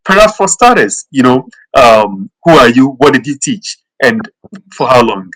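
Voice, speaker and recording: A man hosting an online interview, speaking earnestly with frequent fillers and pauses. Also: mid-range voice, sing-song, fairly bright tone, soft background music, low-quality recording. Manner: earnest, many fillers like "you know" and "um". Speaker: host and interviewer.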